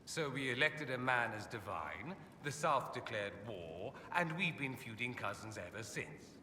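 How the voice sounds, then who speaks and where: An actor playing a witty, haughty mage from a fantasy empire, speaking with suave, theatrically arch sarcasm in a video game. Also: male voice, medium pitch, animated, measured pace, sharp tone, distant mic. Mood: sardonic, amused. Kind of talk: video game character dialogue. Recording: echoey hall, clear background ambience noise.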